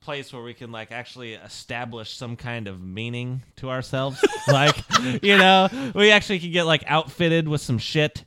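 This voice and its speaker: A man taking part in a tabletop game, talking casually in a blustery, rambling way. His voice sits at an ordinary middle pitch. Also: normal speed, hardly any pauses, background noise. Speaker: player.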